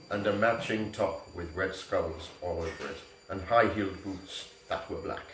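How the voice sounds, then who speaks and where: A man's voice: low-pitched, a little hiss or hum. A man giving a mock-serious dramatic reading of amateur fiction, prone to giggling. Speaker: reader. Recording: echoey room, mic at a distance.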